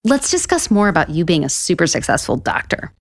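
A woman's voice: lowish voice, fairly quick, no room sound, clean studio sound. An actress playing a sarcastic, easily exasperated woman author in a romantic comedy audio drama. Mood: sarcastic, flustered. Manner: sarcastic, excitable.

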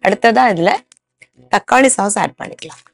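A woman's voice: medium pitch, lively intonation, moderate pace, gravelly. A woman in her thirties hosting a cooking show, explaining each step plainly from a studio kitchen.

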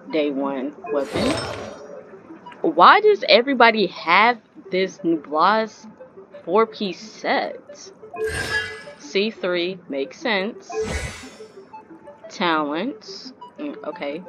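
A woman's voice: lowish voice, rough recording. A young adult woman giving casual, chatty gameplay commentary with grumbling asides, recorded indoors at home. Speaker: gaming streamer doing reaction commentary. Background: video game music.

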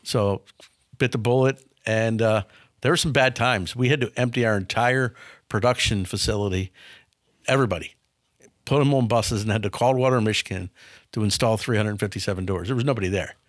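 A businessman in his fifties tells blunt stories from his career in a dark studio. His voice sits low and sounds dry and close to the mic. He talks candidly and often restarts phrases by repeating a word. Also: fairly quick, no room sound. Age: 50s. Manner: frank, anecdotal, repeats words when starting. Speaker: business founder, guest. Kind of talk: candid interview storytelling. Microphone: studio boom mic.

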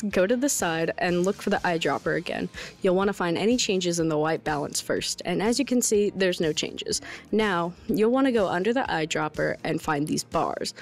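A young woman giving an informal, lightly wry tutorial narration. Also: fairly low pitch. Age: young adult. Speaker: tutorial presenter. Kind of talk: video editing tutorial narration. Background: background music.

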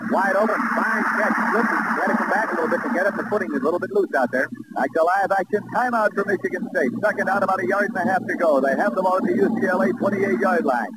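A man's voice: sits high, quick pace, hardly any pauses. An American man calling live college football play by play as a sports announcer on an old TV broadcast. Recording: very noisy old 1960s TV broadcast.

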